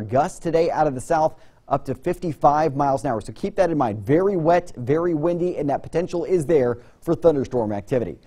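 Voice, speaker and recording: Casting: American man, thirties, as a studio weathercaster; relaxed, dark-toned voice with polished, continuous forecast delivery. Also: mid-range voice. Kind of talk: broadcast weather forecast presentation. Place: TV news studio.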